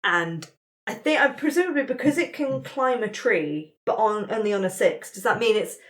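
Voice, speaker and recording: A woman's voice: medium pitch, lively intonation, moderate pace. A woman facilitating a tabletop roleplaying game in a casual, conversational narrating style.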